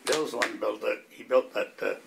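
A man's voice: medium pitch, normal speed, fairly bright tone, a little hiss or hum. An older man, reminiscing casually. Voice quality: gravelly, creaky.

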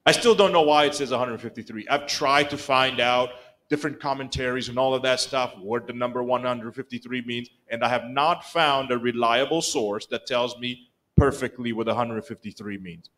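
A man's voice: mid-range voice, normal speed. Rwandan man preaching. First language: Kinyarwanda. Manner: animated storytelling, acts out quoted lines. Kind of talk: sermon. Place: church sanctuary, mid-sized room. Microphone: pulpit microphone, filmed by a far camera.

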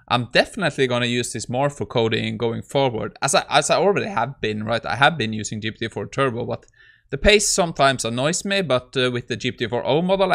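A man's voice: mid-range voice, fairly bright tone. Man casually walking through code. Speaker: tech YouTuber. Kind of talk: casual screen-recorded coding walkthrough.

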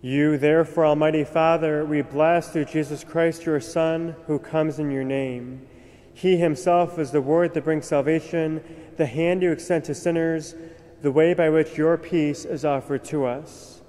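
Young priest praying the mass, slow and solemn, mellow tone, big echoey cathedral. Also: male voice, a little high, steady tone. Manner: measured, solemn recitation. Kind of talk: liturgical prayer at Mass. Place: large cathedral, at the altar. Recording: long cathedral reverb.

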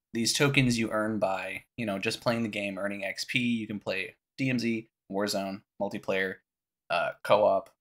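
A young man with a low, scratchy voice, raspy from a cough. He talks casually and explains things step by step, like a gaming creator guiding viewers through a menu. He speaks into a headset in a small room at home. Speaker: gaming YouTuber. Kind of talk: casual game walkthrough.

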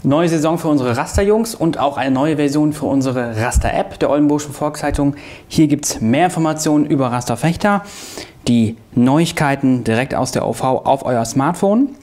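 A man's voice: a little high, normal speed, a little mellow. A man reading a scripted promotional voice-over. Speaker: promo narrator.

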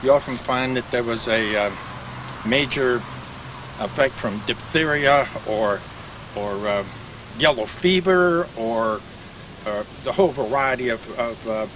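An older man explains gravestones to a tour group outdoors, chatty and unhurried, stopping often on "uh" and starting over. His voice sits at an ordinary pitch and comes through a camcorder from a few feet away. Steady traffic noise runs behind him. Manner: chatty, explanatory, with frequent uh fillers and restarts. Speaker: cemetery tour guide. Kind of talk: outdoor guided walking tour talk. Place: outdoor cemetery among trees. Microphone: camcorder mic from a few feet away.

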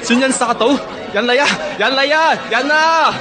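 Cowardly official, Hong Kong Cantonese radio drama. Panicky, repetitive, extremely high-pitched, crowd clamour. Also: male voice, moderate pace, music in the background. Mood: panicked, begging. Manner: stammering, repeating himself. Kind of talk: Chinese historical radio drama acting.

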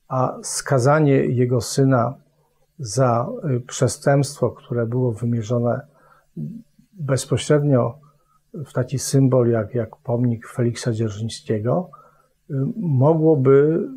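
A middle-aged man, a prosecutor, explaining an investigation in slow, measured official phrasing with hesitations, seated in an office. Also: mid-range voice, mellow, husky. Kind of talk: formal explanation to camera. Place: office with bookshelves. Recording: music bed underneath.

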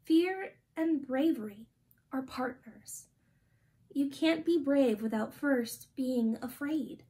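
High-voiced young woman reading slowly to children. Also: warm tone. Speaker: storytime reader. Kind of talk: reading a children's picture book aloud. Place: small indoor room.

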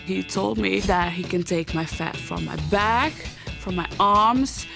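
A woman's voice: medium pitch, a little slow, gravelly. A young woman from Serbia, a reality-show patient, boasting and laughing through a confessional interview. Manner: boastful, laughs between lines. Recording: music behind the voice.

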